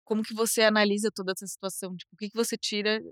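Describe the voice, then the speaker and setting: A woman hosting an interview podcast, reacting often with short, warm replies and laughs. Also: mid-range voice, little pitch movement, very fast, clear, resonant tone, no echo, studio quality. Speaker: podcast host. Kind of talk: casual interview chat.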